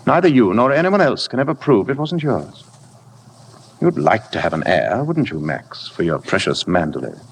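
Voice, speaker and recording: An actor voicing a scornful wife's mocking, sly taunts within a husband's account in a vintage radio drama. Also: male voice, fairly low pitch, big pitch swings, moderate pace, voice pushed up. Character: taunting, scornful wife, quoted by her husband. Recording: noisy vintage radio broadcast recording.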